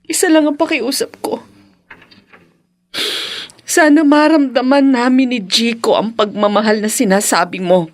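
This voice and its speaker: A high-pitched actress playing a wounded wife in a radio drama. She implores her husband with raw emotion, aching for assurance as a mother and partner. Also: unhurried, pauses often, close to an everyday voice. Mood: tearful, pleading. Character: hurt, pleading wife and mother, longing for assurance. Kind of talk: Filipino radio drama acting.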